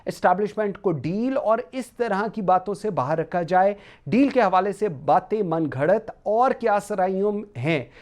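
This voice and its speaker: A woman's voice: medium pitch, animated, normal speed, darkish tone. A woman with a Pakistani accent presenting a political talk show and putting questions to guests.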